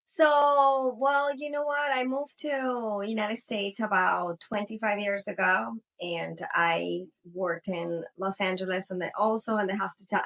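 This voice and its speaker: A woman's voice: mid-range voice, fairly bright tone. A Venezuelan-born woman, a native Spanish speaker in her forties, telling her story casually in an interview, full of "you know" and light laughs. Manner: rambling, many "you know" fillers, laughs often. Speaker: tequila brand co-founder, guest.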